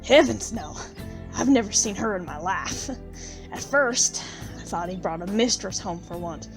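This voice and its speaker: A woman's voice: medium pitch, lively intonation, measured pace, music in the background. Woman doing a poor village widow for an audio drama, jaded, blunt, salty way of talking. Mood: bitter, unsentimental. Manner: plain-spoken, colloquial, bitter.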